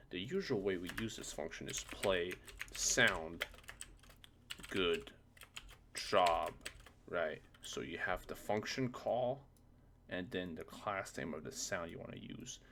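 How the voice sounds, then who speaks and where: A man presenting a casual software tutorial, thinking aloud in an informal way with a gravelly voice. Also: deep voice, a little hiss or hum.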